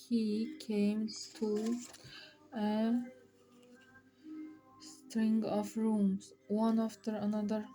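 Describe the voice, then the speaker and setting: A woman reading a fairy tale aloud as storyteller, with halting, dramatic phrasing. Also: medium pitch, warm tone, rough recording.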